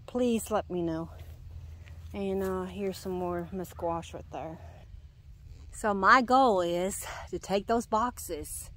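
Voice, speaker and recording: A woman with a stuffy, nasal voice from congestion, rambling and correcting herself as she walks through her home garden outdoors, filming with a handheld camera. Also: lowish voice. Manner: rambling, self-correcting, sighing. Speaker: gardening vlogger. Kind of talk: casual garden walk-through. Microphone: handheld camera filming while walking.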